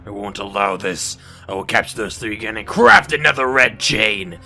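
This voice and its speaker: A man's voice: on the low side, normal speed, sharp tone, voice pushed down. A man voicing a ruthless, vengeful villain boss from a video game, brooding and menacing. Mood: enraged, menacing. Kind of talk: video game character reel.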